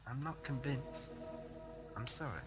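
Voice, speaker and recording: Brisk, theatrical time-travelling hero played by a man. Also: medium pitch, a bit bright, close to an everyday voice. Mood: stricken, remorseful. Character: flippant, decisive time-travelling Doctor, adult man. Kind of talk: fan audio drama acting. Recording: music over old cassette recording.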